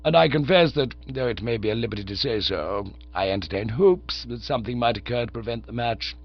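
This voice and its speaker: A man reading aloud and voicing a formal, unflappable middle-aged valet, low and measured. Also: muffled recording, lightly acted. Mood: grave, deferential. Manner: deferential, slow, ends on a deep "sir".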